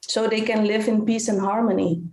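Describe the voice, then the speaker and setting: A woman's voice: medium pitch, normal speed, roomy sound, slight background noise, poor audio. A Dutch woman, a native Dutch speaker, telling her story earnestly as a podcast guest. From Netherlands. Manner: earnest, animated retelling with repeated phrases. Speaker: guest, caregiver coach and author.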